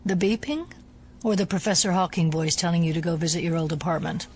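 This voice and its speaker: An actress playing a cool, methodical female FBI agent and scientist in an audio drama, measured and drily reproving. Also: on the low side, a little hiss or hum, lightly acted. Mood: dry, skeptical.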